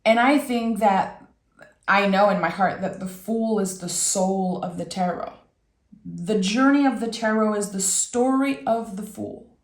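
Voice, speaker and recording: A woman with a raspy voice giving a soft, reflective tarot reading, speaking directly to the listener as host. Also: medium pitch, a little slow, echoey room. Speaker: tarot reader and channel host.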